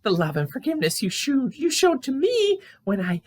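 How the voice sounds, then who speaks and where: Woman doing a high, whiny, anxious raccoon girl. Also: huge pitch swings, moderate pace, a little mellow, heavily acted. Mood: sheepish. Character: anxious, whiny female raccoon who overspends. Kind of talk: puppet show voice acting.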